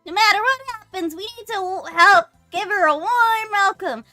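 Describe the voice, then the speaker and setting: A sweet, eager young anime girl, played by a woman reading a visual novel aloud. The voice is bright and pitched very high, with a perky, earnest delivery over faint music. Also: moderate pace, dry recording.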